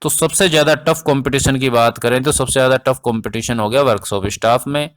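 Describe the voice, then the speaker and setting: A man's voice: mid-range voice, brisk. A man explaining exam updates informally as a coaching teacher, addressing students directly and repeating points for emphasis.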